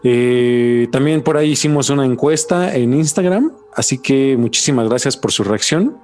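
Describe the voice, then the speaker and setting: A man's voice: medium pitch, moderate pace, a little mellow, faint music behind. A man hosting a podcast, moving between scripted presenting and casual chat. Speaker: podcast host.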